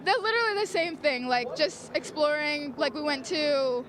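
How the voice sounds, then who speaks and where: A young woman from New Hampshire answering a street interview quietly, her words trailing off. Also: sits high, normal speed.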